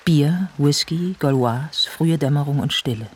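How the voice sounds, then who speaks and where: A man playing the observant narrator of a literary radio drama, describing scenes in a measured way. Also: a little high, husky. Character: observant male narrator of a writer's lonely life.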